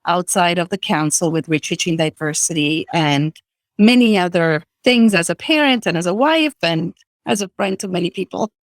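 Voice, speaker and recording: A woman's voice: on the low side, lively intonation, moderate pace, no echo. A middle-aged woman with an Italian accent, explaining her organization's work earnestly as an interview guest. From Italy. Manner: earnest, explanatory, frequent restarts. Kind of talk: virtual interview.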